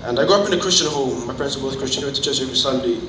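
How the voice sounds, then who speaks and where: A man's voice: medium pitch, racing. Young Fijian man speaking in a roomy space. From Fiji. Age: 20s. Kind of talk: personal testimony, informal public speaking. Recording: roomy, distant mic, noticeable background noise.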